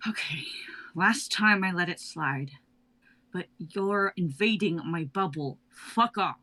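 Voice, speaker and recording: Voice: medium pitch, slow pace, bright, forceful tone. A performer reading a fearless sorceress in a live drama reading, cool, cutting and self-assured. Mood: confident. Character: fearless female sorceress, claims to be a god.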